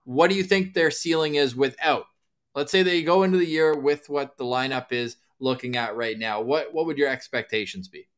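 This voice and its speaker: A man's voice: medium pitch, moderate pace. A man co-hosting a podcast over a video call, talking playfully and joking with his guest. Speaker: podcast co-host. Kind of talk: casual video call chat.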